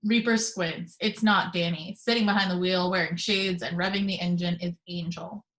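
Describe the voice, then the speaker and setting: A woman reading the action descriptions aloud in steady, measured narration for an amateur table read over a video call. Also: on the low side, poor audio. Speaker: reader of stage directions.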